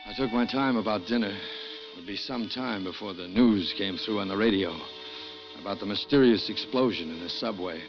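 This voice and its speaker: A man plays an anxious working-class schemer telling his own crime story. His delivery is tense and halting, in classic mid-century radio suspense style. The sound is dull and vintage, with prominent music underneath. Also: lowish voice, lightly acted. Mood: shaken, tense. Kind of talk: 1940s-50s radio suspense acting, first-person narration.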